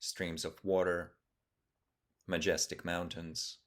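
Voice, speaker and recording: Low-voiced man guiding a meditation. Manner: calm, measured, with long pauses between short phrases. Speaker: meditation teacher. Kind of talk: guided meditation.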